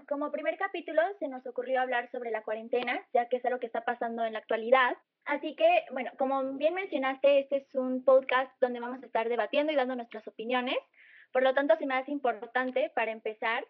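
High-pitched teenage girl from Mexico City. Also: no room sound, lo-fi sound. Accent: Mexico City Spanish. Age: teens, secondary school student. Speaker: podcast co-host. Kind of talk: conversational opinion podcast.

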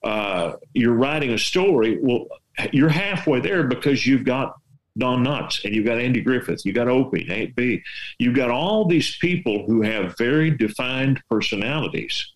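A man's voice: mid-range voice, animated, moderate pace, low-quality recording. A man from West Texas with a Texas accent, giving folksy, rambling storytelling advice full of rhetorical questions. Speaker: veteran storyteller and artist giving writing advice. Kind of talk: casual advice chat.

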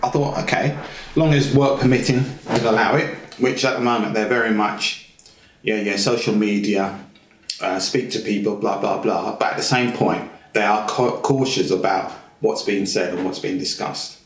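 A man's voice: on the low side, steady tone, moderate pace, a little hiss or hum. A man, a guest in a casual interview, talking in a rambling, hesitant way with lots of fillers and repeated phrases. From England. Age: 50s. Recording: roomy echo.

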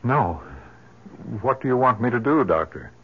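An actor playing a grief-stricken, haunted father in old-time radio drama, halting and intense on a dull vintage recording. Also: mid-range voice, lively intonation, breathy edge. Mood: anxious. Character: grief-stricken, haunted father convinced his dead daughter calls.

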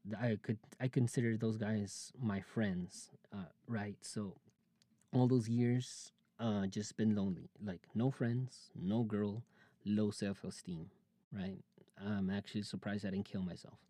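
Young male podcaster, late 20s, dark low voice, confessional rambling chat, dry close sound. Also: steady tone, a little slow, no room sound. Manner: rambling, confessional, many fillers and restarts. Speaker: podcast host. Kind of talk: casual personal monologue.

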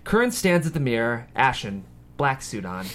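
A man's voice: medium pitch, very animated, normal speed, a bit bright. A man reading scripted screenplay stage directions as the narrator of a table read.

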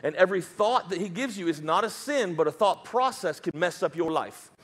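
A man's voice: high voice, expressive, a little fast. A man with a Southern US accent preaching a sermon as pastor, emphatic and dropping joking asides.

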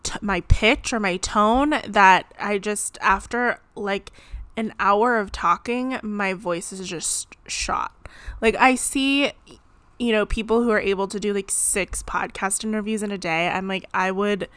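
A woman's voice: mid-range voice, unhurried, a bit bright, clear, resonant tone, no echo. Chatty woman podcast host, dry sound. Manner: rambling, chatty, frequent "like" and laughs. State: slightly strained voice. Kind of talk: casual solo podcast chat. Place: home, seated.

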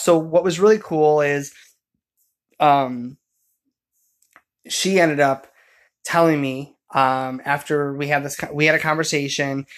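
A man telling a personal story in casual conversation, in a rambling, halting way, often correcting himself as he goes. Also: a little high, a bit bright.

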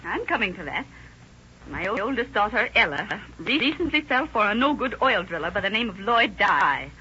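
A woman's voice: mid-range voice, sing-song, moderate pace, sharp tone. A woman playing a fretful, self-righteous middle-aged widowed mother in a 1930s radio drama. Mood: indignant, fretful. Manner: prim, wordy, self-righteous. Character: fretful, indignant widowed mother of teenage daughters. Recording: scratchy muffled old-time radio recording.

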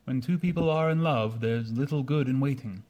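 A man playing a proud, haughty young gentleman in a radio drama, speaking stiffly and courteously. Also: medium pitch, moderate pace, highs cut off, lightly acted. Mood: cool, guarded.

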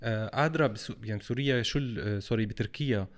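Lebanese guy hosting a podcast, talks super fast, stops and restarts questions. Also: lowish voice. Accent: Lebanese Arabic. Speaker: podcast host. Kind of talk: casual interview questions.

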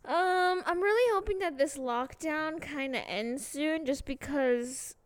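A woman's voice: sits high, unhurried. A woman in her twenties co-hosting a casual chat podcast, laid-back and rambling with many fillers. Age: 20s. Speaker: podcast co-host.

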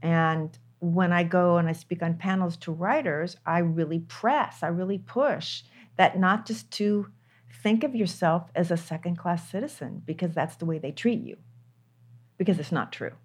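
A woman's voice: on the low side, moderate pace. An older woman, a screenwriter, talking candidly in an interview, stressing her points by repeating words. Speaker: screenwriter guest.

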